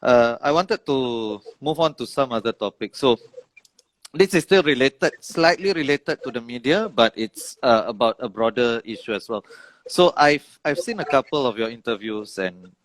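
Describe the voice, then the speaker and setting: A man's voice: mid-range voice, animated, poor audio. A man with a Singaporean accent hosting a podcast interview, asking questions with lots of hesitations and restarts. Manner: hesitant, many fillers and restarts, quick to laugh. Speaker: podcast host, political science professor.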